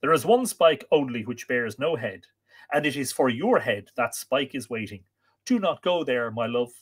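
A man's voice: mid-range voice, very animated, a little slow, fairly bright tone. A man voicing a queenly woman in a storybook tale, pleading and warning her lover with imperious, dramatic tenderness. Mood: urgent pleading.

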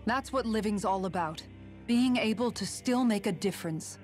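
A woman's voice: medium pitch, normal speed, soft background music, natural voice. An actress playing a firm, blunt yet compassionate young warrior woman, earnest and direct, in a dramatic video game.

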